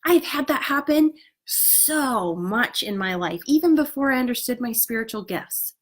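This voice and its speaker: A woman's voice: on the high side, expressive, normal speed. A woman giving casual motivational spiritual coaching to an online group. She talks outdoors, sounds stuffed up and slightly nasal, and speaks earnestly and encouragingly with frequent "you know" and "right?".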